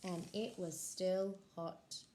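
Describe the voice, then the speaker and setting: Slow-reading school librarian. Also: female voice, lowish voice, a little hiss or hum. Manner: slow, expressive storybook reading. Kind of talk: reading a picture book aloud to children.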